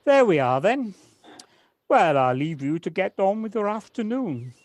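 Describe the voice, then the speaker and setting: A woman's voice: mid-range voice, big pitch swings, a little slow, a little mellow, dry recording. A woman acting a kindly but evasive, hesitant village woman in a home-recorded audio play. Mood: flustered, evasive. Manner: hesitant, faltering. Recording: home recording on personal device, faint noise.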